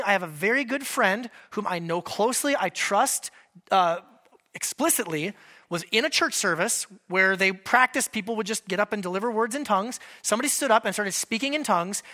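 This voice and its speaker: A pastor delivers a sermon in a loose, conversational manner. The voice is bright and forceful, with mid-range pitch. Joking asides break up the teaching.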